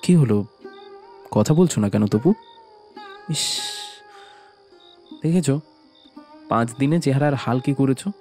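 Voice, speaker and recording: A man's voice: mid-range voice, animated, takes it slowly, pauses often, mellow, faint music behind. A man playing an affectionate husband who teases and chides tenderly, in audio drama style. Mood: tender scolding. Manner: tender, chiding, teasing. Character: affectionate, playfully teasing husband returning home. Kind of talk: Bengali audio drama acting.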